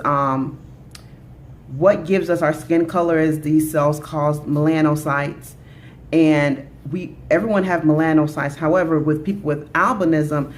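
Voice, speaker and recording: A woman's voice: low-pitched, a little mellow, slight background noise. A woman in her thirties from Jamaica, vlogging in a chatty, upbeat way to her viewers, with many "you know"s. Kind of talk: casual talk to camera.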